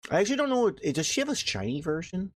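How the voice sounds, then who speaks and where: A man's voice: high-pitched, big pitch swings, quick pace, no echo. Blunt, teasing male co-host, dry sound. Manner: blunt, teasing, swears freely. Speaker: podcast co-host. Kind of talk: casual podcast chat.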